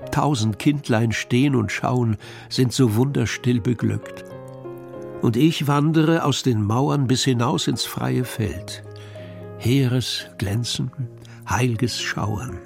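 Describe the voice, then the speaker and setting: Slow, measured man reciting verse. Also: mid-range voice, gravelly, a little mellow, faint music behind. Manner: measured, deliberate storytelling. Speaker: poetry reciter. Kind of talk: expressive poetry recitation for children's radio.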